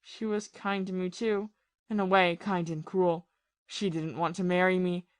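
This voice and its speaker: A woman playing a bitter, lovesick gentleman captain. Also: on the low side, level tone, dry recording, close to an everyday voice. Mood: bitter, despairing. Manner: confessional, impassioned, halting with repeated phrases. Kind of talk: audio play acting of a period drama.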